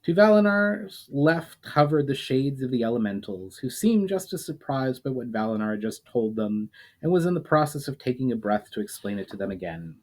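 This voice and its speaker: A man's voice: medium pitch, big pitch swings, moderate pace, few pauses. Man reading a fantasy story aloud. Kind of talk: scripted fantasy narration.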